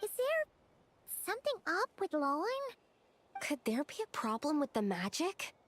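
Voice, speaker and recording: A woman voicing an earnest, concerned young female video game character in a gentle, questioning manner. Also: sits high, huge pitch swings, normal speed, slight background noise, exaggerated voice.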